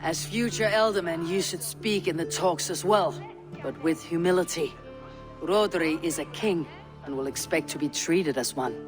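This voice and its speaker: Stern, steady Viking leader over music woman acting. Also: lowish voice, close to an everyday voice. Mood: advising. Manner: firm, measured, grave. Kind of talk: video game character acting.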